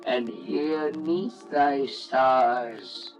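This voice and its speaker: A man voicing a gentle, devout old shepherd uncle, solemn and reverent, in a radio storybook style. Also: mid-range voice, extremely slow, lo-fi sound, soft background music. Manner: solemn, reverent, archaic delivery.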